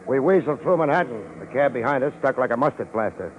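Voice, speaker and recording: A man's voice: medium pitch, expressive, quick pace, heavy background noise, close to an everyday voice. An American man acting a hard-boiled, wry private detective in a 1950s radio drama, narrating in slangy style. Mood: wry narration. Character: wisecracking hard-boiled male private detective, cool under threat. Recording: dull old radio recording, music behind.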